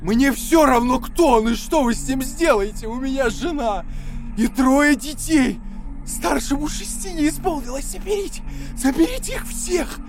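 An actor in an audio drama playing a terrified, craven male prisoner who begs desperately in drawn-out pleas. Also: extremely high pitch, music in the background. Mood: hysterical begging. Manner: pleading, drawn-out, breaking into cries and shouts.

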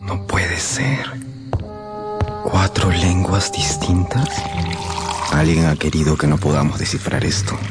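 Anxious young nephew, slow and halting. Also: male voice, low voice, lively intonation, loud music behind the voice. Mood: awed, frightened. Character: earnest young nephew, anxious, lovestruck apprentice scientist. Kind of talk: radio drama acting.

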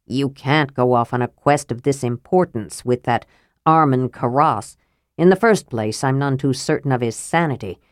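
A man's voice: mid-range voice, moderate pace, no room sound, studio quality, voice pushed down, lightly acted. A woman narrator playing a proud, earnest male knight in a fantasy audiobook, urgent and formal.

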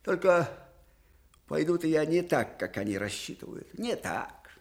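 Casting: elderly, shrewd commander for radio drama, fairly high male voice, fervent, abrupt, theatrical. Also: very animated, a little slow. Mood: brooding, confiding. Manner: theatrical, emphatic, abrupt shifts from outburst to hushed confiding. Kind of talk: Soviet radio drama acting.

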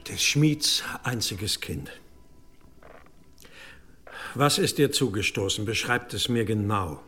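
An actor playing a stern older abbot in an audio drama, speaking gravely and deliberately with commanding authority. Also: male voice, on the low side, animated, pushed and bright, faint music behind, heavily acted. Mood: grave questioning. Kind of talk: German audio drama acting.

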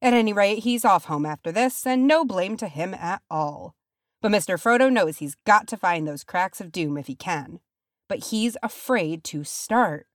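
A woman's voice: mid-range voice, lively intonation, normal speed, dry recording, clean studio sound, voice pushed up, lightly acted. A woman voicing a loyal, earnest male hobbit servant in a fantasy story, deferential and rustic in manner. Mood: fretful.

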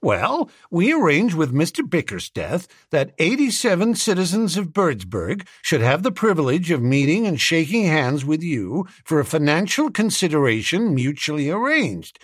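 Man doing a high, hearty American civic booster. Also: fairly bright tone, husky, no room sound, clean studio sound, exaggerated voice. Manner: wordy, formal, emphatic. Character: businesslike American small-town civic booster, formal and hearty.

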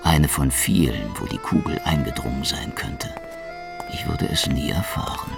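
An actor playing a cynical, wisecracking Soviet secret police investigator who narrates a hardboiled radio crime drama in a sardonic, laconic way. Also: male voice, rumbling low, normal speed, vocal fry, exaggerated voice. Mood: dreamy, wry. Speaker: narrator and lead detective. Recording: music behind the voice.

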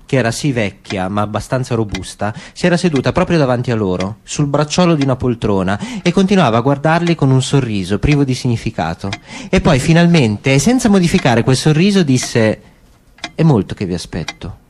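Man narrating in a calm, measured tone. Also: medium pitch, a little hiss or hum. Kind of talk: literary reading for radio.

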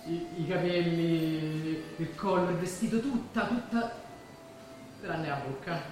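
A young actor with a Roman accent playing a devoted, boastful working-class boyfriend in a stage drama, colloquial and earnest. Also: male voice, on the high side, slow pace, a little mellow, recorded from a distance, soft background music. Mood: tender dreamy. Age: young adult. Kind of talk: naturalistic stage drama acting. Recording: very echoey hall from afar, faint noise.

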